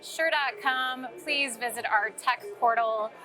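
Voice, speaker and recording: A woman with a fairly high voice explains products conversationally, as a guest in an interview. Event crowd chatter is clearly audible behind her. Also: slow. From St. Louis, United States. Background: crowd at a sales meeting.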